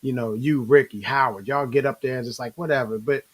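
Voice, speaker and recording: Casual male interview host, mid-pitched voice. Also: steady tone, fairly quick. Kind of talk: casual chat.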